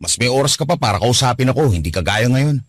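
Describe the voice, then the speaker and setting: A man's voice: medium pitch, lively intonation, fast, background noise, lightly acted. A man playing a young boyfriend in a radio drama, earnest and pleading with his partner. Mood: hurt.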